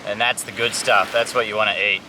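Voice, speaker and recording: A man's voice: fairly low pitch, fairly even intonation, brisk, pushed and bright, a little hiss or hum, poor audio. Upbeat young man outdoors by surf. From Samoa. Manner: upbeat, enthusiastic tour-guide talk. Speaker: survival vlog host. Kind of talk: casual outdoor explainer. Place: outdoors on a tropical island beach.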